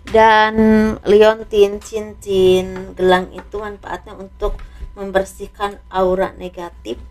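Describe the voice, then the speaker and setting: A slightly hoarse woman doing a casual tarot reading, speaking softly and letting her phrases trail off. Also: mid-range voice, little pitch movement, slow pace. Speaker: tarot reader.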